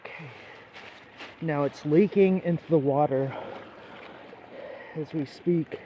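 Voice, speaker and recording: An actor playing a nervous, rambling man exploring a contaminated site, halting and uneasy, in noisy found-footage horror. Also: a little high, muffled recording. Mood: grim, irritated. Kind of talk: found-footage horror acting, talking to camera.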